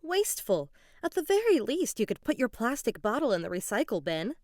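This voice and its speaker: A woman storyteller acts out a bossy schoolgirl eco-crusader from a storybook. The voice is high and lively in pitch, earnest and insistent, with a lecturing edge, recorded dry. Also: brisk, no echo. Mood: scolding.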